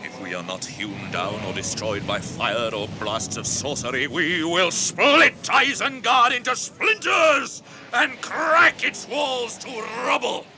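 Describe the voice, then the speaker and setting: An actor playing an ancient tree giant in a British radio fantasy drama, solemn and stirring, with deliberate, booming declamation. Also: male voice, expressive, full character voice. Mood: rousing. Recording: noisy early 1980s radio drama effects.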